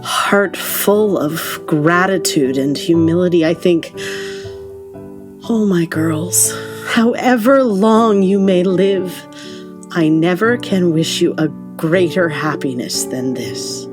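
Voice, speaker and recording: A loving mother narrates a period audio play, played by an actress. Her voice is fairly low, gentle and measured. Plucked guitar plays clearly underneath. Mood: tender, grateful. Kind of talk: scripted narration. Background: plucked guitar music.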